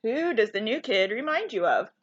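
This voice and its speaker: A woman's voice: on the high side, big pitch swings, normal speed, highs cut off. A woman narrating a children's storybook aloud, telling it expressively and putting questions to her young listeners.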